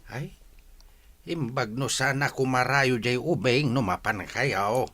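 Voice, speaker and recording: A man's voice: mid-range voice, slow pace, a bit bright. A man playing a concerned old grandfather in a radio drama, with a homely, wistful manner. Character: concerned old grandfather, fond of his family.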